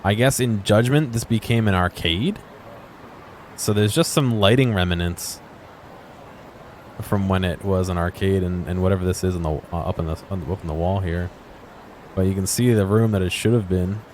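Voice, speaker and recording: Casting: male game commentator, low register, casual and musing, unscripted, some background noise. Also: normal speed, darkish tone. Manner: musing, thinking aloud. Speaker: gameplay video host.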